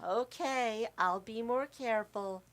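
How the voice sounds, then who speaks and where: A woman acts out a snarky schoolgirl misfit in an amateur audio play. Her voice sits on the high side, and she answers with dry, mischievous sarcasm. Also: slow. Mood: sulky. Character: sullen, sarcastic schoolgirl furry, mischievous prankster. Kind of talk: amateur live radio play.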